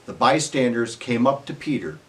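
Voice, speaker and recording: A man narrates scripture as drama, measured yet expressive. His mid-pitched voice moves widely up and down and sits slightly back from the microphone. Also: far from the mic.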